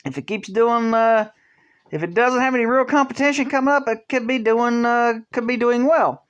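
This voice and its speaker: A vlog host giving casual opinion commentary, talking in a halting way, restarting phrases and filling pauses, in an earnest, conversational tone. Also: medium pitch, a bit bright, clean tone, dull audio. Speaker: channel host.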